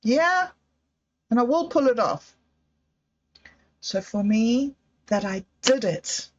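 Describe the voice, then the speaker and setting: A woman's voice: fairly high pitch, expressive, takes it slowly. A woman, hosting a livestream and musing in a hesitant, self-doubting way about her projects. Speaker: livestream host. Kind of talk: casual livestream monologue. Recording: slightly dull lo-fi audio.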